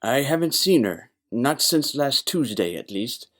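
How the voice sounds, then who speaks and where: A man's voice: medium pitch, sing-song, measured pace. A man playing a naive, gossipy young bartender in a noir audio play, earnest and emphatic.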